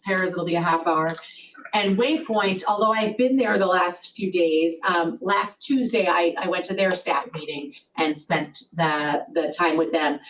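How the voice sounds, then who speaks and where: A woman with a loud voice reporting at a board meeting, chatty, with many fillers and restarts. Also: lowish voice. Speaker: collaborative executive director. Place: meeting room. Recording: distant mic with faint noise.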